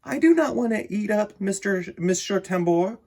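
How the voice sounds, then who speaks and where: Man doing a soft-hearted lion, mild and earnest. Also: high-pitched, expressive, moderate pace, pitched above the performer's own voice. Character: gentle, kind male lion.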